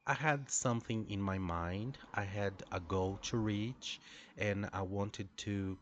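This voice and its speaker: A Brazilian man with a Portuguese accent, an English teacher, talking through a class story with many pauses and restarts. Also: lowish voice, no echo. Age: adult. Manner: hesitant, many fillers and restarts. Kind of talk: reflective solo talk.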